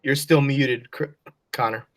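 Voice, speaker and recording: A woman's voice: very deep voice, steady tone, unhurried. A woman teacher leading an online class, speaking patiently and encouragingly as she prompts and praises her pupils. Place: online class video call. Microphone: video call.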